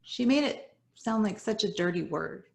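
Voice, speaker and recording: A middle-aged woman whose first language is Spanish, reading her personal story in an earnest, measured way that drops softly at the ends of lines. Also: medium pitch, expressive, vocal fry. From United States. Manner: earnest, measured, dropping soft at line ends. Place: home, virtual event.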